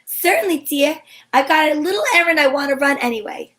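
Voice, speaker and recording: A breezy, flirtatious lady detective acted by a woman with a high voice. She wisecracks archly in the style of a 1940s radio mystery, moving between narration and banter. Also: brisk, sharp tone. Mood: breezy, suspicious. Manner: arch, wisecracking, endearments. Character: sassy female private eye, flirtatious and breezy.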